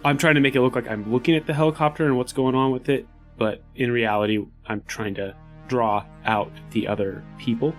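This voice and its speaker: A man's voice: medium pitch, steady tone, brisk. A man playing a tabletop game, casually thinking aloud as he pieces his plans together. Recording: music behind the voice. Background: background music.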